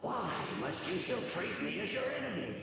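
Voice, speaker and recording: An actor playing a sinister, coldly arrogant nightmare spirit in a video game, with grandiose, formal, theatrical delivery. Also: male voice, on the high side, sing-song, normal speed, vocal fry, distant mic, crowd noise, full character voice. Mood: feigned puzzlement. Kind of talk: video game villain acting. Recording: cavernous reverberant voice under loud music.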